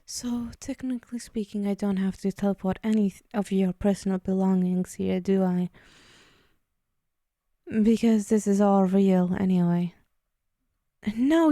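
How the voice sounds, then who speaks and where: A woman playing a possessive, teasing female demon in an ASMR roleplay, menacing yet indulgent, hushed and speaking directly to the listener. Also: mid-range voice, no echo, studio quality, close to an everyday voice. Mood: patient, indulgent.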